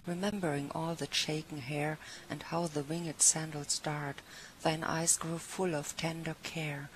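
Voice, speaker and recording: A woman's voice: low-pitched, little pitch movement, measured pace. A woman reciting poems aloud as a reader at a poetry gathering. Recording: clearly noisy recording with ambience.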